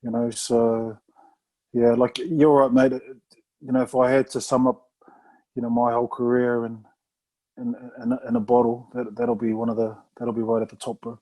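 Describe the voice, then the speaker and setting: Mellow New man, laid-back. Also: mid-range voice, normal speed, low-quality recording. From New Zealand. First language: Samoan. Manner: relaxed, rambling, full of "mate" and "bro". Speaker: rugby player guest. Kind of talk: casual podcast interview.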